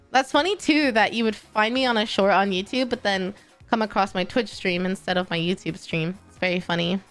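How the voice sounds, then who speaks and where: A woman's voice: on the high side, fairly bright tone. A young adult woman streamer, chatting casually with her live chat, encouraging and laughing often, a little stuffy and congested. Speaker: VTuber streamer. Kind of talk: casual livestream chat, reading chat.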